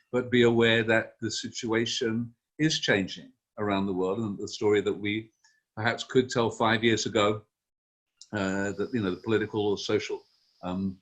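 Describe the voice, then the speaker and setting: A man moderating a webinar over a video call, measured and thoughtful, pausing with fillers. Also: lowish voice. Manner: measured, thoughtful, fills pauses with um. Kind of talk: closing remarks on a video call.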